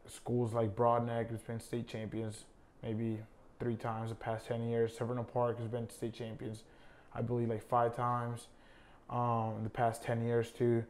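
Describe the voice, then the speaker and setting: Young man from Honduras, flat voice. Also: medium pitch. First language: Spanish. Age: young adult. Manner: relaxed, many fillers like "I mean" and "uh". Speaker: college soccer player, guest. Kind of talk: casual interview.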